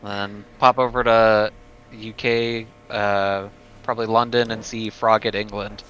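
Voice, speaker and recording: A man's voice: fairly low pitch, little pitch movement, measured pace, a bit bright. A man chatting casually as a player on a gaming show, laid-back and understated. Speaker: player on a tabletop roleplaying show. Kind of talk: casual chat. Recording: dull lo-fi audio, faint noise.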